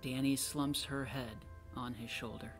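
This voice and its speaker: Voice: medium pitch, fairly even intonation, a little slow, over music. A narrator of unclear sex reading scripted stage directions.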